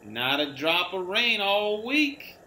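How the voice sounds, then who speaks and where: Man playing a chatty gas station attendant, folksy drawl of speech, incredulous, lively swings, roomy noisy recording. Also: sits high, pitched above the performer's own voice, exaggerated voice. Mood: folksy, polite. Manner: colloquial, drawn-out country talk. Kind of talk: dramatic read-aloud of a radio play. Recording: roomy echo, clear background noise.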